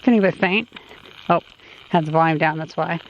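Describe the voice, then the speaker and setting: Casting: casual gear-testing vlogger, musing and unscripted, mid-pitched, muffled outdoor sound with faint noise. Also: a little fast. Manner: musing, thinking aloud. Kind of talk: casual unscripted field commentary. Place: outdoors along a road. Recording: dull audio, faint background noise.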